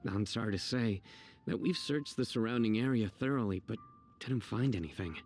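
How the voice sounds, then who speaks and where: An actor playing a worried, earnest city guard in a video game. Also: male voice, on the low side, normal speed, a little mellow, faint music behind, natural voice. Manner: earnest, weary sighs.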